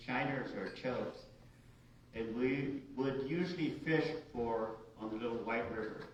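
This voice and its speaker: A male writer reads his story aloud in a halting, informal way, with a mid-pitched voice. The room is heavily reverberant and he sounds set back from the microphone, a little muffled. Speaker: writer reading his work. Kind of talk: reading an autobiographical short story aloud. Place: large echoey indoor room at a live reading. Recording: very echoey room, distant dull mic, hiss.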